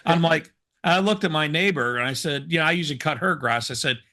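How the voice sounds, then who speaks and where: Man, hoarse, bright forceful voice, rambling storyteller, casual co-host. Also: fairly high pitch, fast. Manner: rambling, self-deprecating storyteller. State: hoarse from smoke inhalation.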